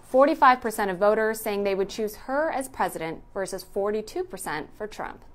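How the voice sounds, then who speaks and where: A woman's voice: mid-range voice, lively intonation, brisk. A woman reading scripted narration for a news explainer.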